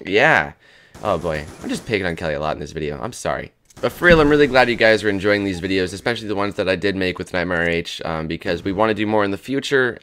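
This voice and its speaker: A hoarse young man in his twenties rambling crudely and jokily as the host of a gaming channel. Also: on the low side, pushed and bright. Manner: rambling, crude, jokey, lots of "dude" and "man". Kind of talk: casual rambling commentary over gameplay.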